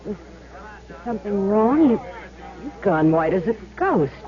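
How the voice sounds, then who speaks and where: An actress playing an uneasy female gambler's accomplice in an old-time radio drama, halting and questioning. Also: medium pitch, very animated. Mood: hesitant, defensive. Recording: dull 1970s radio recording, hiss.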